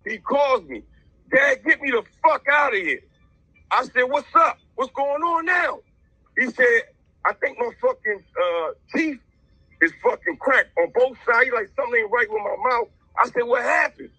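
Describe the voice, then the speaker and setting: A man's voice: very high-pitched, lively intonation, a little slow, bright, forceful tone, slightly rough, dry recording, low-quality recording. A man telling a story casually in the first person, agitated and blunt, with heavy swearing. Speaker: interviewee, father of a boxer.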